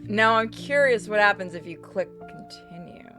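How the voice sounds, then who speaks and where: A woman's voice: mid-range voice, lively intonation, moderate pace, sharp tone. A woman giving casual, blunt commentary as she plays through a game. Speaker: game streamer. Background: game music.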